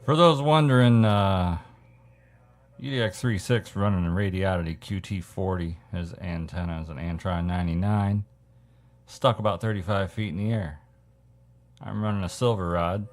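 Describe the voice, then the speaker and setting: A man's voice: low-pitched, moderate pace. A man who is a CB hobbyist, chatting casually and explaining things in an easygoing, conversational way. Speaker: CB radio hobbyist. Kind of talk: casual radio chat with explanations.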